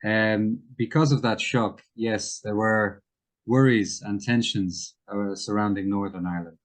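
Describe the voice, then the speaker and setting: Low-pitched man, measured and careful. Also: steady tone. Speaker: interview guest. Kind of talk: phone interview, explaining current affairs.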